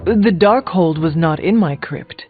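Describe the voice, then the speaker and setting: A woman's voice: lowish voice, animated, measured pace, breathy edge. A dry formal warrior woman for a game, kinda curious, tinny narrow audio, music underneath. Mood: dry, serious. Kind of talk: scripted video game dialogue. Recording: thin phone-like band, music behind.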